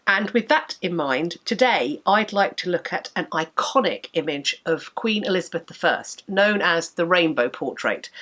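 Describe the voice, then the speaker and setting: Woman, thirties, blocked nose, sore throat, lowish voice, articulate history lecturer, home study to camera. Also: fairly bright tone. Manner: measured, articulate, enthusiastic explainer. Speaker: history educator and channel host. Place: home study with bookshelves, indoors.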